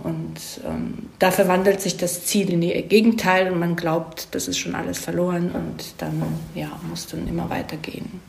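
A woman's voice: fairly low pitch, little pitch movement, normal speed, vocal fry, few pauses, slight background noise. A woman explaining her craft conversationally as an interviewed screenwriter, feeling for phrases and often ending on tag questions. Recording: roomy, echoey sound.

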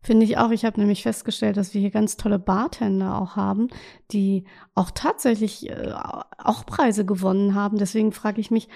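Woman host asking quick questions. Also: mid-range voice, fairly even intonation, creaky, dark tone. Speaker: interview host. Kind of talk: casual interview chat.

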